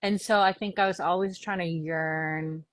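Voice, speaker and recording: A woman's voice: lowish voice, steady tone, moderate pace, dry recording, clean studio sound. A woman from New York whose first language was Korean, a novelist guest talking earnestly in a casual interview, often saying "you know". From New York, United States.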